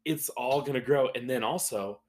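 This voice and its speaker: A man's voice: mid-range voice, normal speed, darkish tone, a little hiss or hum. An American man of thirty-three chatting casually as a podcast host, rambling informally and saying like often. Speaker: podcast host and rugby player.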